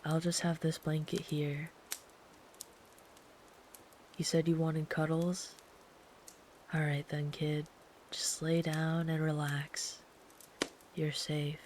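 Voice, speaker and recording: A woman plays a kind traveler soothing someone to sleep in a bedtime ASMR roleplay. The voice is gentle and protective, often sinking into a whisper, with slow pacing and a very even, narrow pitch. A faint hiss sits under the recording. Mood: tender, reassuring. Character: kind, protective traveler comforting a runaway by a campfire.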